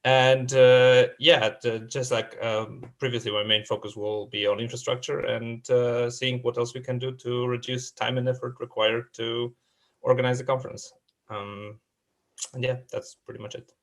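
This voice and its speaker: A man's voice: mid-range voice, little pitch movement, normal speed. A man introducing himself as a board candidate, hesitant and full of fillers.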